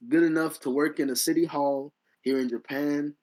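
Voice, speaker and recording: A man co-hosting a casual podcast chat, talking in an animated, self-deprecating way and often repeating his words. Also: fairly high pitch, normal speed, poor audio. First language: English. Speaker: podcast co-host.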